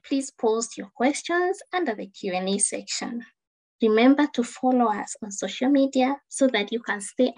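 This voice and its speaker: Woman host, fairly high voice, formal, reading announcements. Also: a little mellow, rough recording. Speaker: program host.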